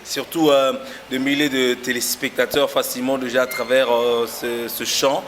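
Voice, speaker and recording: A man's voice: mid-range voice, little pitch movement, moderate pace, a bit bright. Man hosting a tv interview, lots of ums, big echoey room, some hiss. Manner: hesitant, many fillers. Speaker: TV show host. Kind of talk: interview, casual talk show. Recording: noisy room with long reverb.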